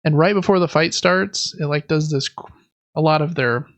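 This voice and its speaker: A man's voice: on the high side, moderate pace. A man chatting casually as a podcast host. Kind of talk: casual chat about video games.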